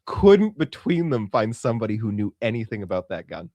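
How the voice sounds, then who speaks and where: A man's voice: lowish voice, sing-song, fairly quick, mellow, no echo. A Canadian man co-hosting a podcast in casual, emphatic, colloquial chat. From Saskatchewan, Canada. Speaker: podcast co-host.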